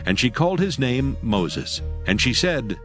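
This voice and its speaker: A man narrating scripture in a measured, solemn reading. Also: medium pitch, very animated, music in the background.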